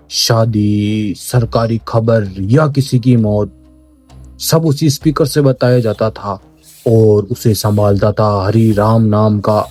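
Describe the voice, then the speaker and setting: A man's voice: mid-range voice, mellow, dry recording. A man giving dramatic, scripted horror narration in an ominous, suspenseful manner, with music behind.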